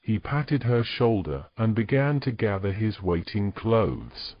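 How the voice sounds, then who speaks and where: Slow, low-voiced male story narrator. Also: big pitch swings, highs cut off. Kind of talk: scripted fantasy story narration.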